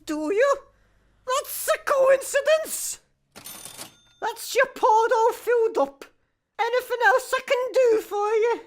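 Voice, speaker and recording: A Scottish woman playing a cheeky, deadpan petrol station attendant in a sci-fi comedy radio play, dry and sarcastic. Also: very high-pitched, takes it slowly, pauses often, heavily acted. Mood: chatty, dry. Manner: dry, self-aware, sarcastic.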